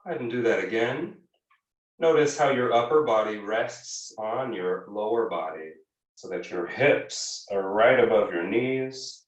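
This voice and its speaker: A man teaching a guided yoga class, giving gentle, measured instructions with frequent pauses. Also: lowish voice, muffled recording. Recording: echoey room, distant microphone.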